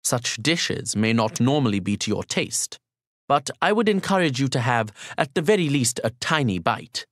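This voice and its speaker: A man reads first-person literary narration at a middling pitch. His delivery is formal, polite and measured. The recording is dry, with very little room sound. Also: sing-song, a bit bright, no room sound. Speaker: audiobook narrator.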